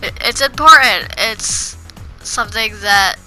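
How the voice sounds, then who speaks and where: A woman's voice: mid-range voice, measured pace, bright, forceful tone, faint music behind. A woman with a cold, sounding congested, chatting casually over her gameplay and rambling with frequent restarts. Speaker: gaming video creator.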